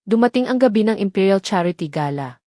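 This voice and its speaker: A woman's voice: medium pitch, expressive, brisk, mellow, no echo, clean studio sound. A woman with a Filipino accent reading a scripted dramatic story as its narrator.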